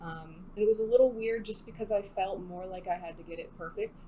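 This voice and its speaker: A woman's voice: medium pitch, a little fast, mellow, rough recording. A woman poet answering interview questions in a reflective, informal, conversational way. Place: recording studio.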